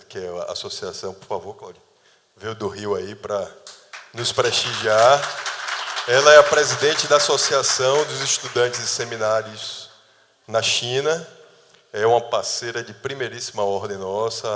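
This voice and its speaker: A man's voice: fairly low pitch, fairly bright tone. A man moderating a panel, speaking in a formal, effusive way as he thanks guests and introduces speakers. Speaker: event moderator. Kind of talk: formal ceremonial speech. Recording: long hall reverb.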